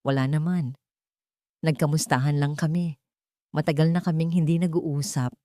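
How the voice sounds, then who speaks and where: A woman's voice: deep voice, fairly even intonation, moderate pace, warm tone, dry recording, studio quality, lightly acted. A Filipino woman voicing a meek, polite young provincial bride in a dramatic audio storybook. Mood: nervous. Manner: polite, deferential.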